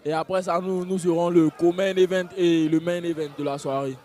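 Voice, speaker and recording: A man's voice: sits high, steady tone, normal speed, darkish tone. A man doing live fight commentary in a hesitant, analytical way. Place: live street fight event.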